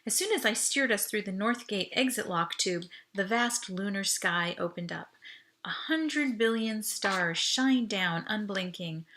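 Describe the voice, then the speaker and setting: A woman reading an audiobook aloud in expressive first-person story narration. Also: mid-range voice, measured pace.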